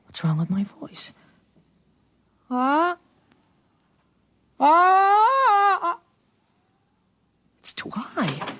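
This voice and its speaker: An actor playing a dazed thirteen-year-old American boy in a 1950s radio drama, speaking haltingly. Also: very high-pitched, huge pitch swings, pushed and bright, full character voice. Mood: dazed, bewildered. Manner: halting, dazed, repeating himself.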